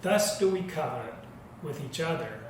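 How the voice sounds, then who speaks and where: A man leading worship with a solemn scripted reading, measured and reverent. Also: on the high side, expressive. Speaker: worship leader. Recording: very echoey, distant mic, noisy background.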